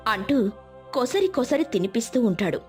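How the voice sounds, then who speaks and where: A woman's voice: a little high, sing-song, normal speed, a little mellow, soft background music. A woman narrating a scripted moral story as a storyteller.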